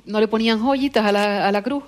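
A woman's voice: medium pitch, fairly quick. A woman conducting an informal interview, prompting and often putting in small words of agreement.

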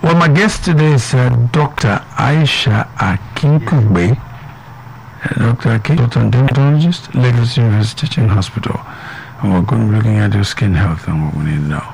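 A man's voice: mid-range voice, fairly quick, vocal fry, slight background noise, low-quality recording. A Nigerian man hosting a radio health talk show, chatting with many fillers and tag questions like "isn't it?".

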